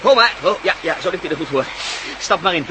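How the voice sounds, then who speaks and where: A man's voice: high voice, animated, brisk. A man acting a nervous, defensive bush pilot in a Dutch radio drama, irritable in manner. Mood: hurried. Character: jittery, defensive male bush pilot guiding an expedition. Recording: noisy old radio play recording.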